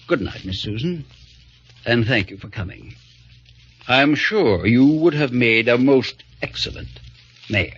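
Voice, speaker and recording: A man's voice: medium pitch, lively intonation, unhurried, long pauses, music in the background, lightly acted. A man plays a scheming small-town politician from an old radio play. He is pompous and oily, with grand formal phrasing. The recording is dull and lo-fi. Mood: veiled menace. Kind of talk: old-time radio acting.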